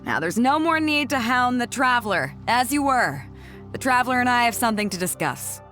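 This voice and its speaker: A hearty woman captain of a fleet in a video game. She speaks in an assured, commanding yet easygoing way, with a fairly high voice that swings freely in pitch, over soft background music. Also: big pitch swings, brisk. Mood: firm, instructive.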